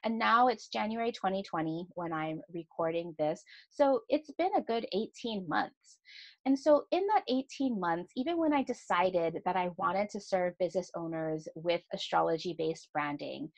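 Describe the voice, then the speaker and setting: A woman's voice: lowish voice, expressive, measured pace, creaky, poor audio. A woman in her thirties hosting a solo podcast, speaking earnestly and confessionally, often checking in with "right?". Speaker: podcast host and astrologer.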